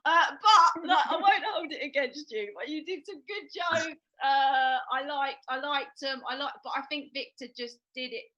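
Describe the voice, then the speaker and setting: A woman's voice: high voice, normal speed, hardly any pauses, sharp tone, highs cut off. A woman judging a comedy battle, rambling and giggling in cheeky chat. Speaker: judge. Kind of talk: casual chat.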